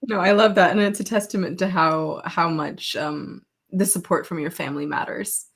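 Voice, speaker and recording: A woman's voice: fairly low pitch, fairly even intonation, normal speed, breathy edge. A woman hosting a podcast interview in a casual, supportive and affirming way.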